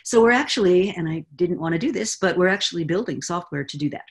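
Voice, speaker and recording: A woman in her sixties explaining with confident, animated energy as guest expert on a video call from a home study. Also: on the low side, quick pace. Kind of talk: video call roundtable answer. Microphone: webcam on a video call.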